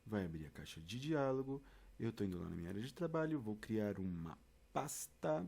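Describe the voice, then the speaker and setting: A man's voice: fairly low pitch, big pitch swings, normal speed, vocal fry, mellow, husky. A man with a Brazilian accent giving an informal step-by-step tutorial, talking directly to listeners and often checking in with them. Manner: conversational, addresses listeners directly with frequent check-ins. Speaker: instructor presenting a tutorial.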